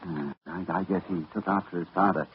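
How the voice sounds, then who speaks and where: A man's voice: low voice, fairly quick, lightly acted. A man playing a grave, driven doctor who narrates his ordeal urgently in a 1940s radio drama. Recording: muffled old radio broadcast, hiss.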